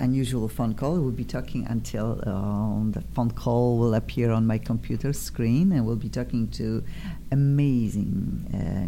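Brief: low-voiced Polish-accented woman, radio talk host, effusive, full of ums, faint background hiss. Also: creaky, mellow. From Poland. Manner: hesitant, many fillers, effusive. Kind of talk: live radio interview.

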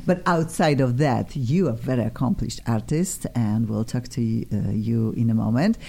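Very low-pitched Polish-accented woman radio host. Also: moderate pace, creaky, mellow, slightly rough. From Poland. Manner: effusive, gushing, informal. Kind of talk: casual, chatty radio hosting.

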